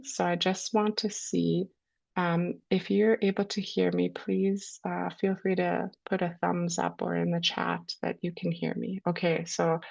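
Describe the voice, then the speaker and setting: A woman with a low voice and a cold, hosting a webinar and introducing its speakers in a measured way with frequent ums. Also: gravelly, darkish tone. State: has a cold. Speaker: webinar host. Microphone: video call. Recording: lo-fi video call audio.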